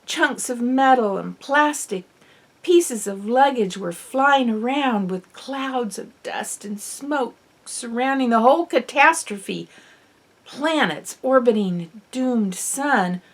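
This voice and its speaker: A woman's voice: a little high, animated, slow pace. Woman narrating a children's audiobook expressively. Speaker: author reading own novel. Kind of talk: audiobook narration of children's fiction, first person.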